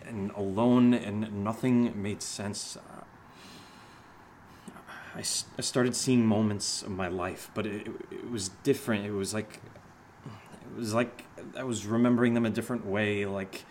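An actor playing a sardonic, shaken man who jokes through fear, speaking haltingly in a naturalistic horror audio drama. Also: on the low side, steady tone, a little mellow, slight background noise, close to an everyday voice. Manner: hesitant, halting, sarcastic.

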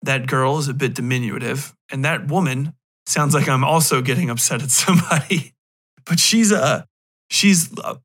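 A man's voice: a little high, fairly bright tone. A man, thirties or older, hosting a podcast in a casual, rambling monologue, cutting himself off with wry, sometimes profane asides. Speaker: podcast host.

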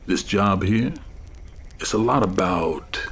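A man playing a weary, seasoned police detective in an audio drama, folksy, dry and unhurried. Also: deep voice, slight background noise. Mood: wry, measured. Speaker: actor in audio fiction. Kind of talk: serialized audio drama acting.

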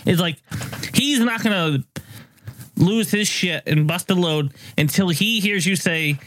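A man's voice: high-pitched, a little slow, fairly bright tone, slight background noise. A man hosting a podcast in casual chat, telling stories in a loose, profane way. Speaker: podcast host.

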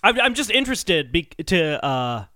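Guy podcast host, pretty high voice that swings around a lot, chatty storyteller, dry close sound. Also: sing-song, normal speed, bright, forceful tone, no echo. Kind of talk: casual chat with prepared research.

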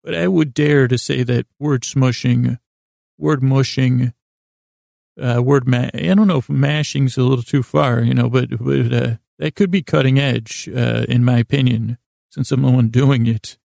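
A man hosting a sleep podcast in a creaky voice, meandering soothingly through a bedtime monologue, mumbling and stumbling over his words. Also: medium pitch, fairly even intonation, unhurried, a little mellow, no room sound, clean studio sound. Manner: soothing, lulling, friendly. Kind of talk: rambling bedtime monologue to help listeners fall asleep.

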